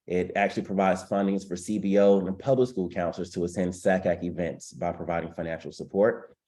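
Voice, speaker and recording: A man's voice: low-pitched, warm tone. A congested man hosting a webinar on a video call, making announcements with frequent ums. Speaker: webinar host.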